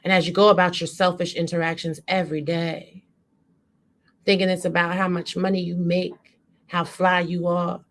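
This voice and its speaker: A woman delivering an impassioned spoken-word monologue with dramatic, emphatic and deliberate phrasing. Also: deep voice.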